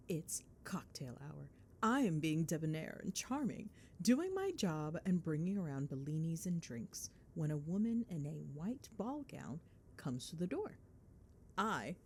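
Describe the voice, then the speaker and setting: A woman playing a chatty, cheeky, affectionate girlfriend in an ASMR roleplay, telling stories in an animated, sarcastic, dramatic way. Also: on the low side, breathy edge, heavily acted. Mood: amused, gossipy. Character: chatty, cheeky, affectionate girlfriend gossiping while driving home. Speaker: voice actor.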